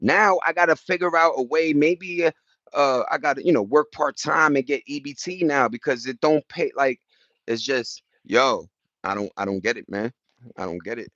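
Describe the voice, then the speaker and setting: A man's voice: mid-range voice, moderate pace, a bit bright, dry recording, highs cut off. A man with an American accent talking casually on a livestream, passionate and emphatic, rambling in slangy street talk. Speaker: livestream host reading and answering viewer chat.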